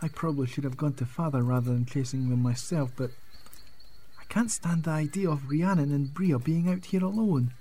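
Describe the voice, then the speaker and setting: An earnest young lord, acted by a man, in an audio drama. His voice sits high for a man and his speech is polite and a little formal. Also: normal speed, warm tone. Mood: worried. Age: young adult. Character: earnest, worried young nobleman riding out alone.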